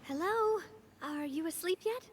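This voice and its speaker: An actress playing a cheerful young woman in an anime game, sincere and gently teasing. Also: very high-pitched, lively intonation, measured pace, noisy background. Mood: cheerful, inviting. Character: cheerful, friendly young woman, generous leader of a group.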